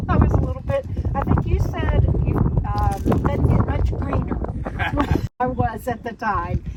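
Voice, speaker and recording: Woman interviewee, distant with loud noise. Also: high voice, muffled recording. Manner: halting, with frequent laughs. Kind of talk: casual interview.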